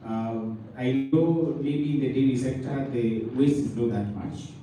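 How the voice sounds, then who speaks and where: Kenyan man speaking in a roomy hall. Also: medium pitch. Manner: halting, many fillers and restarts. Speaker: dairy regulator on a panel. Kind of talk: panel talk. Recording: roomy hall from a distance, loud noise.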